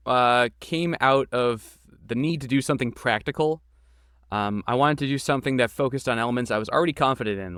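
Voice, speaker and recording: Man, smooth resonant voice, very dry sound, informal and enthusiastic, chatting as an interview guest. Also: medium pitch, a bit bright, no room sound. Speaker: podcast guest, game creator and voice actor. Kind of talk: casual interview answers.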